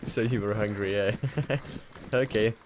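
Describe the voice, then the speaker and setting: A man's voice: fairly low pitch, slow pace. Chatty male game streamer, noisy background. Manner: relaxed, chatty, laughs often, reads chat aloud. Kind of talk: casual gameplay stream chat.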